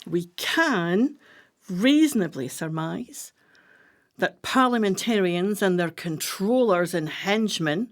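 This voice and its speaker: An actress playing a brisk-minded, commanding woman plotter in a radio play, with a deliberate, declamatory delivery. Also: mid-range voice, animated. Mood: measured, reflective.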